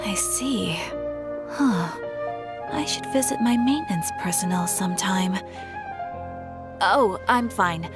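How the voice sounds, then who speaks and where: A woman playing a polite, reserved, dazed young woman in a video game, speaking in terse, deliberate phrases. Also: medium pitch, over loud music, lightly acted. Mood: detached, polite. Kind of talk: scripted video game character dialogue.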